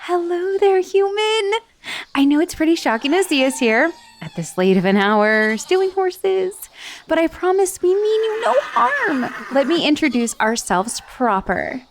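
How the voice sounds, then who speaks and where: A bubbly female titan head, voiced by a woman, fussing and gushing over a tiny stranger in an ASMR roleplay. Her voice sits very high and swoops lively through every line. She talks in a prim, doting way. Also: unhurried, a little hiss or hum, heavily acted. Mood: fussy.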